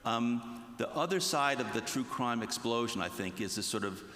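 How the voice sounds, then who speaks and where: A man speaking as a writer on a panel, giving considered commentary in a measured, halting, reflective way. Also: medium pitch, animated, bright, forceful tone. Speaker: true crime writer on a panel. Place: large reverberant festival venue. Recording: echoey hall with long reverb.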